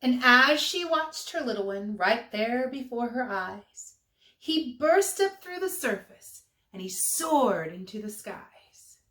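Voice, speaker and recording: A woman reading a children's storybook aloud with expressive, emphatic phrasing for young listeners. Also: a little high, takes it slowly, roomy sound, rough recording.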